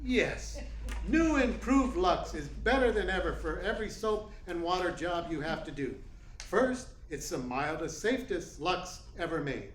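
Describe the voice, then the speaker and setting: An eager 1940s radio pitchman, acted by a man, with a high voice that swings up and down as he sells soap. The recording is echoey, with faint noise behind. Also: lively intonation, unhurried, a bit bright. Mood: upbeat selling. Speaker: radio announcer.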